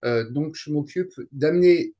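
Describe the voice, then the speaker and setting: A man giving a casual interview as a guest, explaining his work in a halting, conversational way. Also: fairly high pitch, warm tone. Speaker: interview guest, translator and event coordinator.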